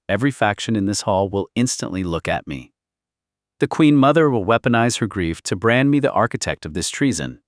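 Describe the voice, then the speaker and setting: A man's voice: fairly low pitch, dry recording, clean studio sound. A man voicing a cold, ambitious would-be regent in a grave, calculating audiobook monologue.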